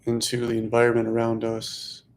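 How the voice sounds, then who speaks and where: A man leading a guided meditation as a teacher, speaking slowly and softly in short phrases with long pauses. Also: medium pitch, completely flat delivery. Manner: slow, hushed, long pauses between phrases.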